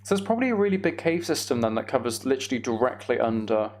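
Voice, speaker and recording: A man's voice: mid-range voice, big pitch swings, fast. A man chatting casually as he livestreams a game, with self-deprecating, muttered asides to himself. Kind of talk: casual gaming livestream chat with commentary.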